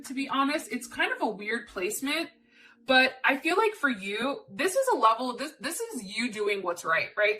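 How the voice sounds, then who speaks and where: A woman's voice: on the high side, bright, forceful tone. A woman giving a casual, candid tarot reading as a channel host, chatting informally and repeating phrases for emphasis. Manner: candid and informal, with mild swearing. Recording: distant mic, background noise with clicks.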